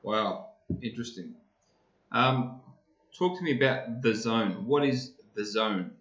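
A man's voice: mid-range voice, takes it slowly, a bit bright, reverberant, highs cut off. A man hosting a podcast interview casually, with short reactions and stumbling repeats. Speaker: podcast host.

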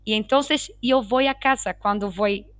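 A woman's voice: mid-range voice, a little slow, fairly bright tone, clear, resonant tone, dry recording, clean studio sound. A woman from Portugal whose native language is Portuguese, speaking Spanish with a Portuguese accent as a guest pharmacist. She talks in a heartfelt way and repeats words as she searches for them. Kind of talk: casual conversation.

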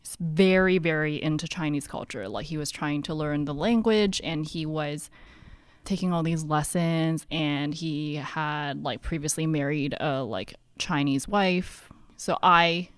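A woman's voice: sits low, steady tone, a little slow, clear, resonant tone, no room sound. A young woman from Hong Kong co-hosting a podcast, chatting casually and telling stories with lots of "like". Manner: chatty, heavy use of "like", storytelling. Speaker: podcast co-host.

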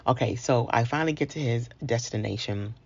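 An American woman from New York City telling a personal story in a conversational, comic way, acting out dialogue, in a husky, gravelly voice. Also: very deep voice, a little fast, darkish tone. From New York City, United States. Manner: comic, acts out dialogue with exclamations. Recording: dull lo-fi sound.

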